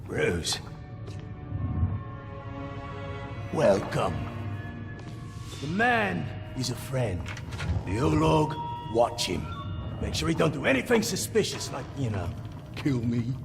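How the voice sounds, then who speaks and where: Chatty cowardly orc, gravelly and swoopy, rambles in a big echoey hall, game cutscene. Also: male voice, medium pitch, huge pitch swings, takes it slowly, long pauses, music in the background, full character voice. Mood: rambling, wary. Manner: rambling, theatrical, comic asides. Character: chatty scatterbrained orc ex-overlord, cheerfully cowardly braggart. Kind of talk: video game cutscene acting.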